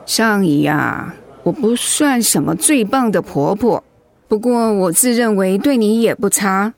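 A woman's voice: mid-range voice, animated, a little slow, vocal fry, darkish tone. A woman acting a protective middle-aged mother-in-law in a radio drama, outspoken and motherly. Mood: tender. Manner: indignant, emphatic when provoked, motherly.

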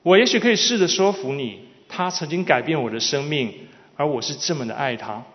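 A man with a high-pitched, very animated voice interprets a sermon phrase by phrase. He is recorded with long hall reverb that carries each line. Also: brisk. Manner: follows the preacher phrase by phrase. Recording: dull, reverberant large hall sound.

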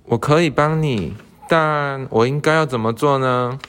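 A man's voice: mid-range voice, a little slow, fairly bright tone, smooth, lightly acted. A young man playing an earnest, hesitant young scholar in love, in an amateur radio drama. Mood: willing. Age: young adult. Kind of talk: student radio drama acting.

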